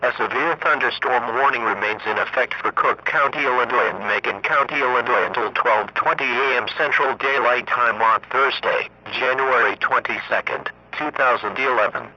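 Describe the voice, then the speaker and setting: A man reading scripted emergency weather warnings in a formal, monotone synthetic voice. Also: mid-range voice, moderate pace, few pauses. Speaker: emergency alert announcer. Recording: muffled lo-fi radio broadcast, faint noise.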